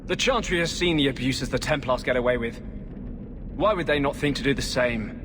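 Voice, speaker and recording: Cynical male court advisor played by an actor, mid-pitched, sober game drama, noisy echoing hall. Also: brisk, natural voice. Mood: dry reasoning. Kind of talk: dramatic video game cutscene acting.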